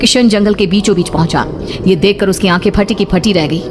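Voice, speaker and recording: A woman's voice: mid-range voice, a little fast, background noise. A woman reading scripted horror story narration for a cartoon. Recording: prominent music under the voice. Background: loud background music.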